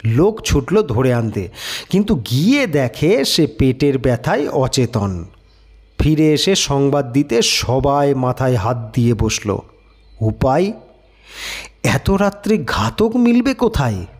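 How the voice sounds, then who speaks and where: Slow, animated man narrating a story. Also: mid-range voice, husky. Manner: expressive storytelling, acts out characters' lines. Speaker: audiobook narrator. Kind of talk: scripted literary story narration.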